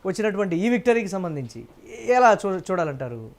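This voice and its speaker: A man's voice: high-pitched, big pitch swings, brisk. A man presenting live news and putting questions as an anchor.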